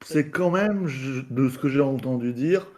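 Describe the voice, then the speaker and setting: A man's voice: mid-range voice, a little slow, darkish tone. A man raising questions in a casual debate, speaking hesitantly. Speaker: debate participant.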